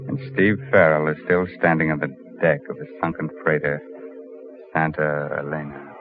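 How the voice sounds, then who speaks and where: An actor playing a sardonic, macabre horror host, narrating an old-time radio show in a wry, gloating, theatrical way. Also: male voice, extremely low pitch, fairly even intonation, normal speed. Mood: wry, macabre relish. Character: sardonic, macabre male storyteller of horror tales. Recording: muffled old radio recording with music.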